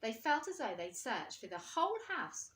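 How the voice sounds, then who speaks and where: Expressive woman reading aloud, roomy sound. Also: a little high. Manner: expressive, pauses to ask listeners questions. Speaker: teacher reading a story to children. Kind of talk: storybook read-aloud for young children. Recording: roomy, echoing indoor sound.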